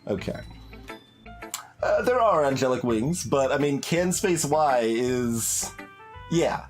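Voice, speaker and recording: A man with an average-pitched voice talks through a game on a live stream. His delivery is casual and halting as he reasons things out aloud. Guitar-tinged music plays behind him. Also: lively intonation. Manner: hesitant, thinking aloud. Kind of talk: casual live playthrough commentary.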